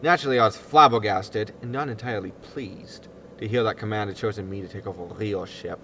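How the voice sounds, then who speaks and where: A man's voice: fairly low pitch, a little fast, sharp tone, slight background noise. A man playing a defensive, exasperated disgraced spaceship captain, indignant and grumbling, in a sci-fi comedy audio drama. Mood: grumbling, resigned.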